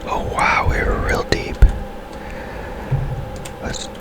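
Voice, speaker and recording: A man giving hushed ASMR game commentary in a breathy, unvoiced whisper, musing as he plays in an unhurried way. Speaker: gaming streamer.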